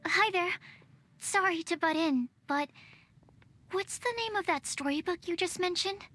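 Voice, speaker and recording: A woman's voice: extremely high pitch, a little slow, pushed and bright, heavily acted. A woman voicing a timid, bookish young female alchemist in a video game, nervous and over-careful with her words. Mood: curious. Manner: nervous, over-careful, qualifies every statement. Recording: faint game music behind.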